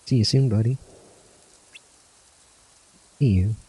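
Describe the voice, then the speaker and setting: A man's voice: fairly low pitch, takes it slowly, dark tone, husky, exaggerated voice, poor audio. A man reading out game cutscene dialogue, voicing earnest young student warriors in a fantasy story. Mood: wistful, friendly. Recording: music under the voice.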